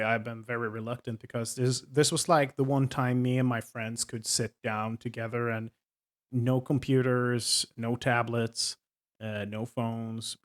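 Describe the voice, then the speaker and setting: A man in his thirties with a Swedish accent, explaining himself to viewers in a casual, hesitant way, full of ums and restarts. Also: mid-range voice. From Sweden. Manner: hesitant, many ums and uhs, self-correcting. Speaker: game master.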